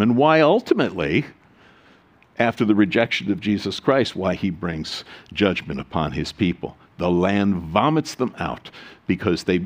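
A man preaching a sermon as pastor, reading scripture solemnly and adding joking, animated asides. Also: fairly low pitch.

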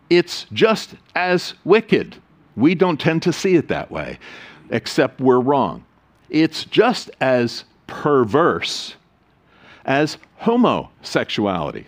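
A man preaching a sermon as pastor, reading scripture solemnly and adding joking, animated asides. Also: medium pitch.

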